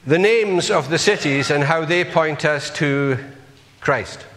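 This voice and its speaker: A man's voice: fairly high pitch, takes it slowly, a bit bright, in a large hall, slight background noise. A man preaching a sermon, earnestly putting rhetorical questions to his congregation.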